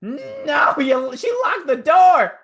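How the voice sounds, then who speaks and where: A man voicing a panicky male adventurer who shouts frantically while trying to flee. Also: extremely high pitch, sing-song, slow, pitched above the performer's own voice, heavily acted. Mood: panicked.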